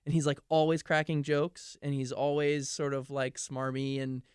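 A man's voice: medium pitch, little pitch movement, moderate pace, darkish tone, clear, resonant tone, no echo, studio quality. A man talking casually as a podcast guest, earnest and enthusiastic with many fillers. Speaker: podcast guest, stage actor. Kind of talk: casual chat.

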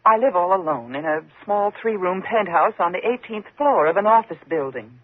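A woman's voice: fairly low pitch, expressive, normal speed, slightly rough, phone-quality sound. An actress playing a frightened single woman in a 1950s radio suspense drama, tense and dramatic in her delivery. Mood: haunted, dread. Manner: tense, dramatic, faltering when flustered.

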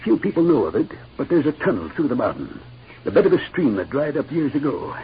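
A man's voice: mid-range voice, sing-song, brisk, slightly rough, full character voice. An actor playing a desperate middle-aged father freed from outlaw captors, earnest and anxious, in a 1950s radio western. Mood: earnest, troubled. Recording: muffled old radio recording.

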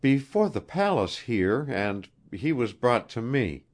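A man voicing an anxious, earnest young queen in a storybook reading, halting and pleading. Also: mid-range voice, sing-song, pitched above the performer's own voice.

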